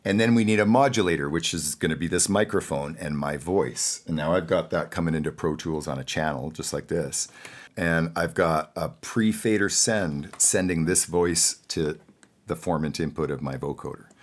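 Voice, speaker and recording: A man with a low voice hosts a casual studio tutorial. He explains gear in plain, informal terms and encourages the listener, sometimes restating a phrase to make it clear. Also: moderate pace. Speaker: host and audio production instructor.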